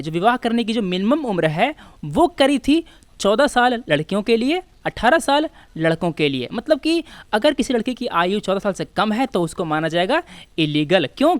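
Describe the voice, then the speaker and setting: A woman's voice: medium pitch, dry recording. A woman with an Indian accent teaching an exam prep lesson, switching between Hindi and English and often asking questions that she answers herself. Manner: didactic, asks and answers own questions. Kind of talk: instructional lecture walking through exam questions.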